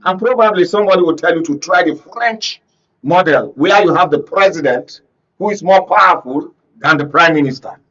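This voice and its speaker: A Nigerian man with a fairly high voice speaks as a commentator on a political panel. He is emphatic and rhetorical, punctuating his points with questions to his listeners. The broadcast sound is a little dull and lo-fi. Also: moderate pace, bright, forceful tone. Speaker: panel guest, conflict reporter. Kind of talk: political commentary on a talk show. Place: radio and TV studio discussion.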